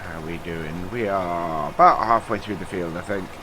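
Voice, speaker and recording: A man's voice: sits low, moderate pace, noisy background. A man with a nasal, stuffed-up voice from a cold, rambling through casual let's-play commentary with lots of fillers. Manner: chatty, rambling, many uh fillers. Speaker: gaming channel host.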